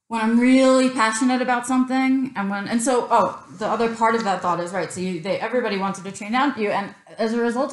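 A woman in her thirties with a New York accent talks straight to her webcam from a small room, candid and chatty, filling gaps with ums. Her voice sits at an ordinary middle pitch, and the space gives it a noticeable roomy echo. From Queens, New York. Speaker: vlogger. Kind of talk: unscripted personal vlog. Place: small room indoors, plain backdrop.

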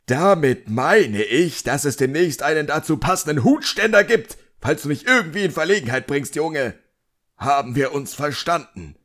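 A man's voice: fairly high pitch, animated, a bit bright, pitched above the performer's own voice. Man reading as a stern, pompous magician master. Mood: threatening. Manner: imperious, deliberate, scolding.

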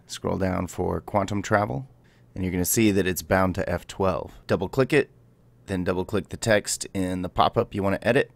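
A man's voice: deep voice, gravelly. A man hosting a game tutorial, commenting and speaking voice commands to the game. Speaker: gaming video host and player.

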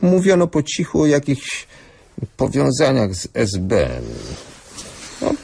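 An actor playing a senior doctor in a radio drama, answering in a measured, hesitant and sceptical way. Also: male voice, mid-range voice, big pitch swings, creaky, darkish tone, husky, full character voice. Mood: measured, guarded.

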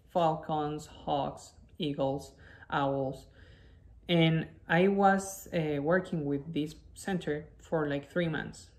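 A young man from Ecuador with an Ecuadorian accent, a native Spanish speaker, telling his personal story as a presentation. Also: a little high. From Quito, Ecuador. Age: young adult. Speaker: guest, wildlife biologist. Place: indoor living space at home.

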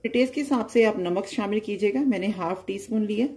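A woman hosting a cooking channel, giving calm, plain step-by-step recipe instructions over her cooking. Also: medium pitch, a little fast.

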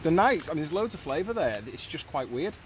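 A man's voice: on the high side, very animated, a little fast. A British man in his thirties chatting casually to camera as he records a hiking vlog outdoors on a phone. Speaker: hiking vlogger. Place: outdoors on woodland and open windy fells. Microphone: phone at arm's length. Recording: phone audio with loud wind noise. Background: wind buffeting the mic.

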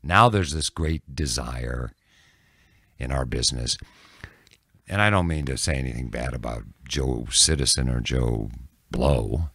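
An older man in his sixties with an extremely deep voice that crackles with vocal fry. He talks candidly and haltingly, as in a relaxed interview. The sound is dry and close to the microphone. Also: no echo. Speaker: news anchor and reporter, podcast guest. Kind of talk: casual reflective interview answers. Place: small dim indoor podcast room. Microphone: close studio microphone on a boom.

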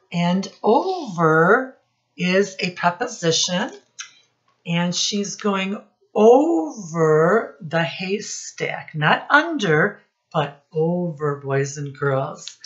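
A middle-aged woman reads a picture book to little children at a very slow pace, in a fairly low voice. She stretches key words and puts questions to her young listeners like a teacher. The sound is a bit dull, from a webcam in a small room. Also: lively intonation. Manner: instructive, prompting listeners with questions, drawn-out emphasis on key words. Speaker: children's storytime teacher and reader.

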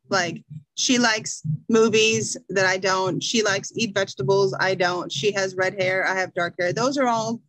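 A middle-aged American woman teaching an English class over a video call, speaking slowly and encouragingly from a small room at home. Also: medium pitch, fairly bright tone, no echo, low-quality recording. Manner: slow, encouraging, reads a passage aloud. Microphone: video call webcam.

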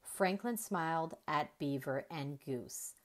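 A woman reading a children's story aloud in an expressive, deliberate storyteller's manner. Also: low-pitched. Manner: deliberate, animated storytelling with emphasized dialogue.

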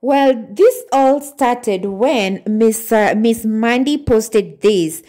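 A woman's voice: on the high side, drawn-out words, warm tone. Woman narrating gossip news. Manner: reads quoted posts aloud with frequent fillers and restarts. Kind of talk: read-aloud celebrity gossip narration.

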